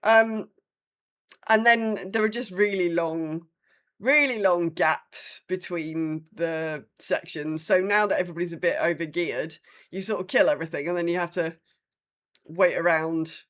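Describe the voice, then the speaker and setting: A woman's voice: lowish voice, measured pace, a bit bright. A woman co-hosting a podcast, chatting casually about gaming in a blunt, sweary way. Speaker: podcast co-host. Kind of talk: casual chat about an online game.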